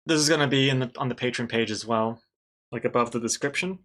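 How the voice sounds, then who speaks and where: A man's voice: medium pitch, fast, fairly bright tone. A man in his thirties, a livestreaming artist talking casually to chat while he draws, chatty and self-mocking. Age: 30s. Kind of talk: casual commentary while drawing on stream.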